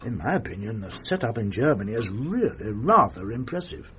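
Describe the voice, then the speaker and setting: An actor playing a pompous, patronising British upper-class banker in a radio drama, speaking in a measured way. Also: male voice, lowish voice, big pitch swings, sharp tone. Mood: smug. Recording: muffled, faint noise.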